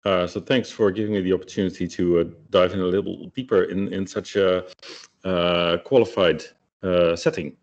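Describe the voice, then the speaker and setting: A man's voice: sits low, steady tone, normal speed. A middle-aged man from the Netherlands, giving a technical webinar talk with many hesitations and fillers. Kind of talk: technical presentation. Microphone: video call. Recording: muffled lo-fi video-call audio.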